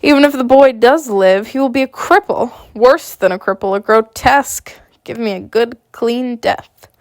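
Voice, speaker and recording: A woman's voice: on the high side, moderate pace, no echo, lightly acted. A sick woman voicing a wry, mocking male dwarf noble in an amateur audiobook, with a dry delivery.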